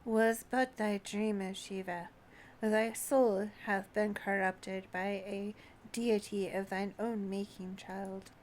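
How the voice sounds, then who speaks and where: An ancient male dragon from a fantasy game, voiced by a woman, speaks slowly and solemnly. The tone is scornful and world-weary, with archaic, measured wording. Faint ambient noise sits behind the voice. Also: mid-range voice, steady tone, close to an everyday voice. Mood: grave, lecturing. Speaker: streamer reading out translated game dialogue. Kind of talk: archaic fantasy dialogue read aloud.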